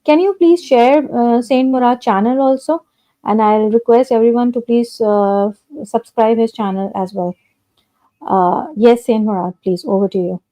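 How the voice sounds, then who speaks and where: A woman's voice: on the high side, moderate pace, warm tone, low-quality recording. A woman hosting a live stream, speaking politely and hesitantly with many fillers over a video call. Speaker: host.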